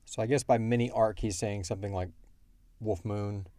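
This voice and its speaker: A man hosting a casual podcast Q&A, thinking aloud in a halting way. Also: lowish voice, steady tone, warm tone, no echo. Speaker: podcast host and show creator. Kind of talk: casual Q&A chat.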